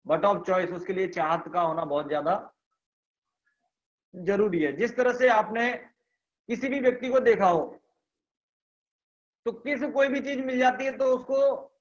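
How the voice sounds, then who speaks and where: A man's voice: high-pitched, highs cut off. A man running a motivational business training on a video call, repeating his points with emphasis and putting questions to the audience.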